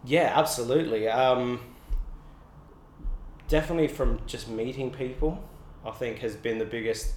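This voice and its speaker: A man's voice: medium pitch, moderate pace, darkish tone, a little hiss or hum. A young Australian man, an artist, chatting in an interview in a loose, rambling way full of "like" and restarts. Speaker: artist guest. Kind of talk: casual interview. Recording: roomy echo.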